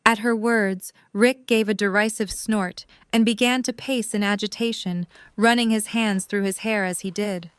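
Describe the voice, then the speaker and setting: A woman's voice: medium pitch, steady tone, moderate pace, few pauses, clean tone, dry recording. Dry, close-sounding female story narrator.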